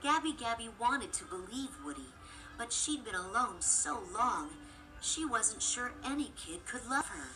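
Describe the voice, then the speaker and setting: A woman narrates a scripted storybook for children. The delivery is animated, rising and falling expressively through each line. Music plays prominently underneath. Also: fairly high pitch, unhurried, low-quality recording. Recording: loud background music bed. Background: music and sound effects.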